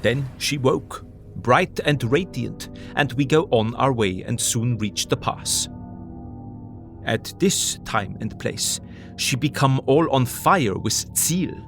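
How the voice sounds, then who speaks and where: An elderly foreign professor and vampire hunter in an audio drama. He is grave and weary, with a creaky, gravelly edge. His broken, old-fashioned English comes slowly and earnestly over faint music. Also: medium pitch, animated, a bit bright, full character voice. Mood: earnest, wondering. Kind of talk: audio drama diary narration.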